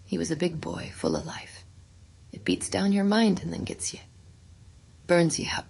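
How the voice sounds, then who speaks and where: A woman voicing a dying old farmer, dazed with horror, in halting rustic fragments and breathy croaks for a horror audiobook. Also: on the low side, sing-song, darkish tone, pitched above the performer's own voice, exaggerated voice. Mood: fading dread. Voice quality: breathy, crackling whispers and croaks. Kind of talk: dramatic horror audiobook reading.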